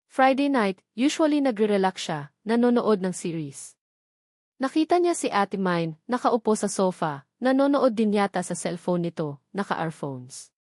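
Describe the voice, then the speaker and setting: A woman narrating a scripted romance story in a steady storytelling manner, slipping English phrases into her narration. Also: mid-range voice, a little slow, dry recording, studio quality.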